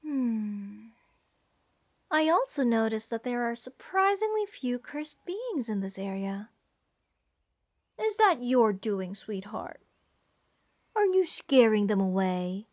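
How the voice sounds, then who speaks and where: Woman acting a high-voiced, giddy, unhinged lady mage. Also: big pitch swings, takes it slowly, pauses often. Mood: musing, curious. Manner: breathy gasps, gushing, rambling, sweetly menacing. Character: giddy, unhinged female mage who adores cursed monsters. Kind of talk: fantasy ASMR roleplay acting.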